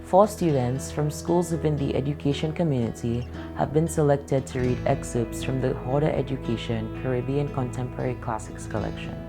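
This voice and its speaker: A woman reading a formal scripted introduction as a presenter. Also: very deep voice. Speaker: presenter introducing a student reader. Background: guitar music.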